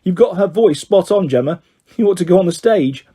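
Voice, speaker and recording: A man voicing an affectionate, wry mother, mildly exasperated and gently scolding, in a children's storybook reading. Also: sits high, animated, a little fast, husky, pitched above the performer's own voice. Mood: fond scolding.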